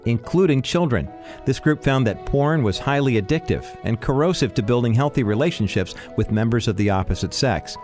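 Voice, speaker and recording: A man's voice: medium pitch, darkish tone. Man reading a scripted radio intro. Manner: measured, read from a script. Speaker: program announcer.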